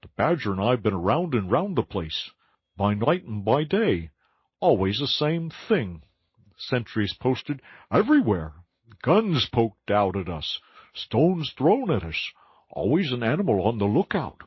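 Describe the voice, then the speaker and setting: A fretful little mole, complaining in a raised voice. A man does him with a husky tone that rises and falls a lot, recorded dry. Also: medium pitch, big pitch swings, measured pace, no room sound, pitched above the performer's own voice, heavily acted. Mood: aggrieved. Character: fretful, frustrated male mole.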